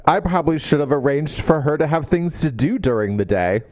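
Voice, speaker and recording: A man talks through a book as a podcast co-host in a very animated voice that swoops around. He is sarcastic and casual, slipping into mocking versions of the characters. The sound is dry and close, with no room on it. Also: on the high side, a little fast, no echo. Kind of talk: casual comedic book recap.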